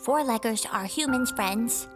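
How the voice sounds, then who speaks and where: A woman voicing a young female blue jay, a hesitant but hopeful dreamer, in an animated short film. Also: on the high side, unhurried, natural voice. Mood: earnest, hopeful. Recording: music behind the voice.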